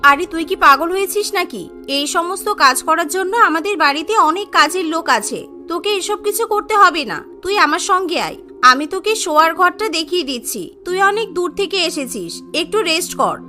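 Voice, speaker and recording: A very high, bright young woman, a protective and well-off friend in a story, acted by a female narrator. She is reassuring but insistent. Soft music sits behind the voice. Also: animated, a little fast, heavily acted. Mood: insistent, caring.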